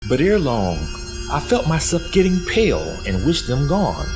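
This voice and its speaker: A man's voice: fairly high pitch, huge pitch swings, normal speed, over music. A man playing an obsessive, paranoid murderer in dramatic gothic horror narration, theatrical and emphatic, repeating his words. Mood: mounting panic. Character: mad, obsessive murderer confessing, paranoid and gleeful.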